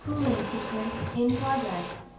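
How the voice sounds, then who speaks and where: A woman voicing an impersonal, formal laboratory computer announcer in a video game, speaking in a measured way. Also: a little high, lightly acted. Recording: echoey, noisy game audio.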